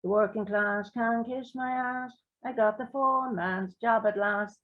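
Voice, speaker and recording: A woman voicing a cocky young male workmate who gloats slowly. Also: on the high side, fairly even intonation, phone-quality sound, close to an everyday voice. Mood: gloating.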